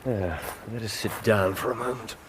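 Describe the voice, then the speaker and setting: An actor playing a bored, brooding detective with sardonic wit in a radio drama, speaking languid, precise English in a theatrical way. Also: male voice, on the low side, normal speed, background noise, natural voice. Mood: sardonic, restless.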